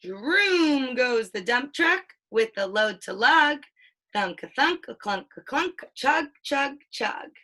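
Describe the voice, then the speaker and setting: A mother reading a children's picture book aloud, with sing-song storytelling and drawn-out sound words. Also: high voice, expressive, bright, forceful tone. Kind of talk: children's read-aloud with questions.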